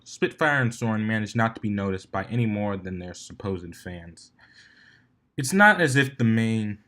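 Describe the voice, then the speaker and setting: A man's voice: fairly low pitch, bright, forceful tone. A man narrating a written fan fiction story as its reader.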